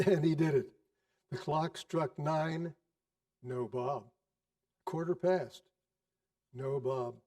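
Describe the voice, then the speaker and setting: A man narrating a storybook tale theatrically, expressive, savouring repetitions and pauses. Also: on the high side. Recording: distant microphone.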